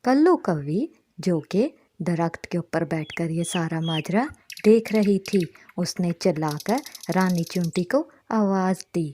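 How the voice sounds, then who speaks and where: A woman's voice: fairly low pitch, expressive, takes it slowly, dark tone, a little hiss or hum. A woman narrator reading a scripted moral story for a cartoon in storybook style.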